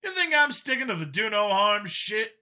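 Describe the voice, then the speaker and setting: A man's voice: high-pitched, very animated, normal speed, sharp tone, pitched above the performer's own voice, full character voice. A man voicing a brash, cocky human medic and hunter in an audiobook, combative and folksy in his talk. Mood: brash defiant. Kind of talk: acted audiobook dialogue.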